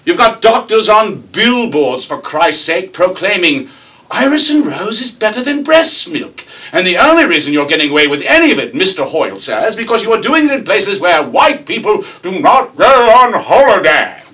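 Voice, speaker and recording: A man's voice: a little high, sing-song, quick pace, hardly any pauses, pitched above the performer's own voice. A man voicing an indignant young British employee, sputtering moral accusations with strain in a stage monologue. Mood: outraged, shouting. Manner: sputtering, emphatic. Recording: distant mic with faint room noise.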